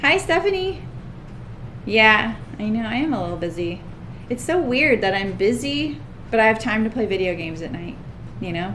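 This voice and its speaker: A woman's voice: fairly high pitch, a bit bright. A woman hosting a sewing livestream, chatting casually and greeting viewers by name, her voice a little scratchy. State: slightly scratchy voice.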